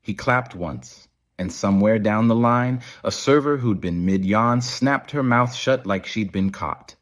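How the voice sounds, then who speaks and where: A man narrating a scripted audio story. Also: lowish voice.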